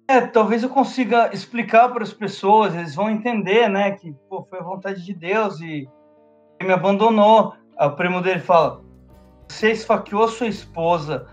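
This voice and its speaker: Guy on a podcast, high voice, slangy and loose, kinda dull lo-fi sound. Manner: slangy, loose, laughs often. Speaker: podcast co-host. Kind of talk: casual film review chat.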